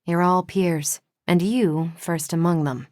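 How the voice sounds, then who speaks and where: Tough superhero lady for a game, kinda low voice, wry n confident, super dry recording. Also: steady tone, slow pace, darkish tone, dry recording. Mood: calm, matter-of-fact. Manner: casual, wry banter with firm self-assurance. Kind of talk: scripted video game voice acting.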